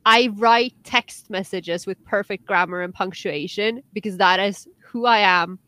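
Young Norwegian woman, podcast co-host, chatty with restarts, mid pitch, dry close recording. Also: measured pace, no room sound. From Norway. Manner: chatty, animated, many restarts and fillers. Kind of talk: casual chat.

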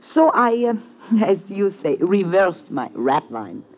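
An actress playing a sly, flirtatious woman smuggler with an Eastern European accent in a 1950s radio adventure, teasing in measured, formal English. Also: medium pitch, big pitch swings. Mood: matter-of-fact, amused. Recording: dull, noisy vintage radio recording.

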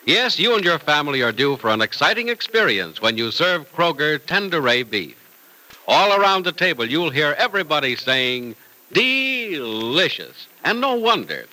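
A man's voice: a little high, very animated, normal speed, pushed and bright, poor audio. A man announcing and narrating in polished, theatrical old-time radio style.

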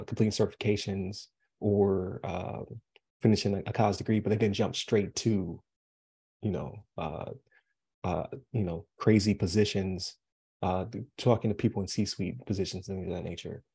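A man's voice: on the low side, dark tone, slightly rough. An American man from Buffalo, New York, hosting a podcast interview in a casual, chatty, rambling way. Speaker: podcast host.

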